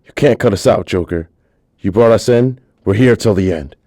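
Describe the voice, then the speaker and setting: A man's voice: on the low side, moderate pace, warm tone, no room sound, studio quality, lightly acted. A man playing a bitter, angry gangster villain in a radio drama, curt and threatening. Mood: defiant, angry.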